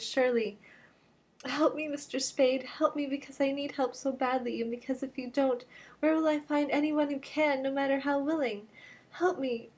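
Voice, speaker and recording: A woman's voice: a little mellow, natural voice. Woman reading as a pleading femme fatale. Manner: breathless, imploring, rising pitch. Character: desperate pleading young woman, theatrical. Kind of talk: dramatic reading of a novel.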